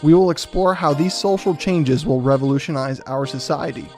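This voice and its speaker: A man reads a scripted introduction for a podcast in a somewhat high voice. Faint music plays underneath. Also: moderate pace, a little mellow. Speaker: show intro announcer. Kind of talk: scripted narration.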